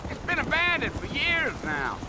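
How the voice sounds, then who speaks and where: A man's voice: extremely high pitch, wildly swooping pitch, normal speed, background noise, full character voice. A man voicing a chatty, nosy American frontier guide in a Western video game, folksy and talkative in manner. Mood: curious, chatty.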